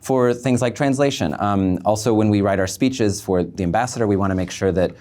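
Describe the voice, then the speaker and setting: A man speaking as an interview guest, explaining things in a measured way and often pausing mid-thought. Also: on the low side, darkish tone. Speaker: guest, embassy public affairs officer. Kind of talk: thoughtful online interview answers.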